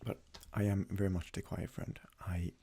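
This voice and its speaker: A young man from Sweden, a native Swedish speaker, talks casually in a soft-spoken, hesitant ASMR style as he answers questions. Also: deep voice, little pitch movement, moderate pace, creaky, slightly rough. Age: young adult. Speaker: ASMR creator.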